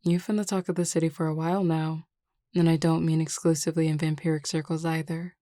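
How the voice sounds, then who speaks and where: A flirty, self-assured werewolf woman played by a voice actress in an audio roleplay. Her voice is low and mellow, recorded dry and close, and she teases with casual, unhurried confidence. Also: level tone, no echo, close to an everyday voice. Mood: relaxed, assured. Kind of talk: scripted audio roleplay acting.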